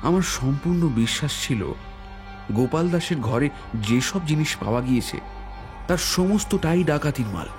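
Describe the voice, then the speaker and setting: A man narrating a detective audio story in the first person as a police inspector, with measured, deliberate dramatic phrasing. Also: a little high, animated. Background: background music.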